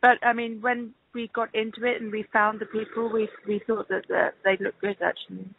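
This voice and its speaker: A woman's voice: a little high, level tone, brisk, vocal fry, husky. A woman answering interview questions over the phone in a hesitant, conversational way, thinking aloud as she goes. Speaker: interview guest, casting director. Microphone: telephone call. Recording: tinny, band-limited phone line.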